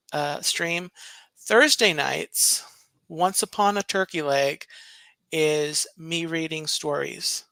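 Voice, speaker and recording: A soft-spoken man chatting casually on a live stream, unhurried and rambling, with plenty of fillers. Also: fairly high pitch, creaky, clear, resonant tone, dry recording. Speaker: co-host, stream guest.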